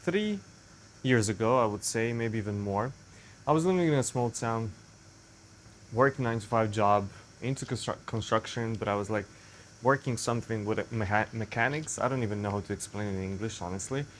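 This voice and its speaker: A man's voice: on the low side, fairly quick, a little hiss or hum. A young man from Serbia with a Serbian accent, speaking English as a second language in a rambling, self-correcting casual monologue to the camera. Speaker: vlogger.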